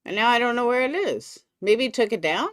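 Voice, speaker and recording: A woman's voice: fairly high pitch, animated, brisk, no echo. Woman streamer with a dry, close sound. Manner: blunt, sweary, gossipy. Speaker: commentary streamer. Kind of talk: casual live reaction commentary.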